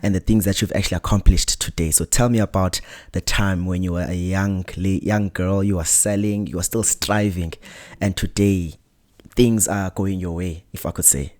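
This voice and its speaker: A man's voice: low voice, steady tone, normal speed. A young South African man hosting an interview podcast, speaking hesitantly with many restarts. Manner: hesitant, many ums and restarts, soft-spoken. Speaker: podcast host.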